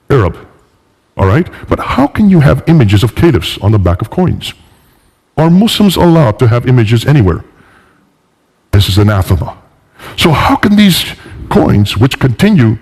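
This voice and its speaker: A man's voice: medium pitch, a little mellow, slightly rough. A man with a cold giving an emphatic public lecture, teaching through pointed rhetorical questions. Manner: emphatic, didactic, questioning the audience. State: has a bad cold and fever. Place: large reverberant indoor room. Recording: echoey hall with long reverb.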